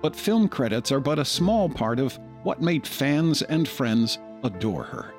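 A man's voice: medium pitch, big pitch swings, measured pace, faint music behind. A man hosting a podcast, reading a scripted tribute in a narrator's style. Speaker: podcast host.

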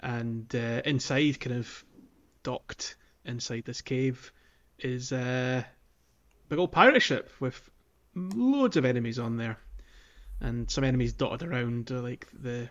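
A man hosting a podcast, casually guiding listeners through a video game in a halting, think-aloud way. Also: mid-range voice, fairly bright tone. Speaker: podcast host.

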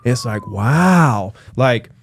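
A man who works as a real estate agent, talking in an animated, chatty panel debate with lots of fillers. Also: medium pitch, extremely slow, breathy edge.

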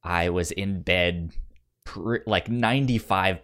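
A man hosting a podcast in casual conversation, halting and stuttering on the first sounds of words, with an enthusiastic manner. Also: deep voice, fairly bright tone. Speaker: podcast review show host.

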